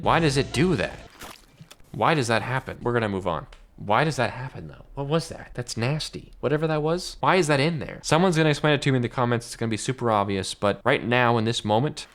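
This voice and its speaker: A man giving casual, informal reaction commentary as a video host, often sounding puzzled and repeating his questions. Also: medium pitch.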